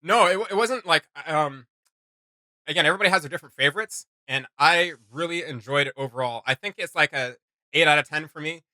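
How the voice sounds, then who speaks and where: A man's voice: a little high, fairly quick, sharp tone, no echo, rough recording. A man hosting a reaction video, giving casual, emphatic and opinionated commentary.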